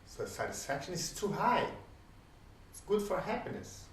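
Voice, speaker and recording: A man whose first language is Portuguese, musing aloud as host in a reflective solo talk, pausing often and posing questions to the listener. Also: high-pitched, sing-song, slight background noise. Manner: thoughtful, pauses often, rhetorical questions. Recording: roomy, distant from the mic, faint ambience.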